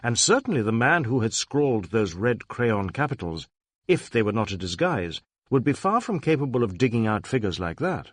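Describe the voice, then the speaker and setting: Man, audiobook-style narration, detective story, mid pitch, lively intonation, dry recording. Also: moderate pace, dry recording. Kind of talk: scripted dramatic narration of a detective novel.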